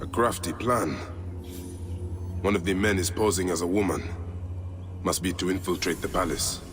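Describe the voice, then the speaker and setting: An actor playing a grave, determined warrior investigator in a video game, speaking in terse, brooding phrases. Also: male voice, sits low, normal speed, music in the background, lightly acted. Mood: grim, deducing. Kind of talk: video game character acting.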